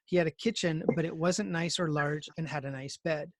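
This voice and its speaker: Male screenwriting teacher, fairly high voice, casual and explanatory, dry video-call sound. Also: fairly even intonation, fairly quick, no echo. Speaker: teacher leading a screenwriting class.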